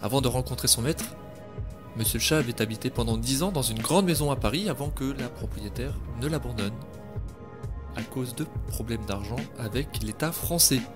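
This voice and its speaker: A man tells a scripted podcast story in a wry voice pitched in the middle range. Music plays clearly behind him. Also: normal speed. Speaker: narrator.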